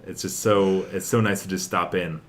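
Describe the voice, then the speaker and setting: A man's voice: sits low, steady tone, normal speed, a little hiss or hum. A young man co-hosting a podcast, chatting casually and enthusiastically about video games in a rambling way. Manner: enthusiastic, rambling, frequently chuckling. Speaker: podcast co-host.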